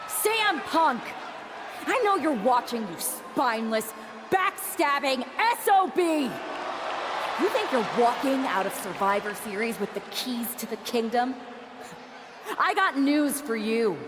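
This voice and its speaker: A woman's voice: fairly high pitch, lively intonation, measured pace, long reverb tail, a crowd in the background, noisy background. An actress playing a defiant, confrontational woman wrestler in a video game cutscene. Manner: confrontational, insulting.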